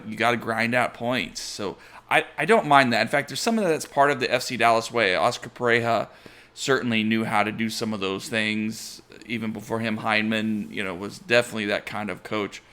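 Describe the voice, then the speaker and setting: A man co-hosting a casual soccer podcast, weighing points in a measured, analytical way. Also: on the low side, sharp tone. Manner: measured, analytical, reads listener questions. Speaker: podcast co-host. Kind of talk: casual sports chat.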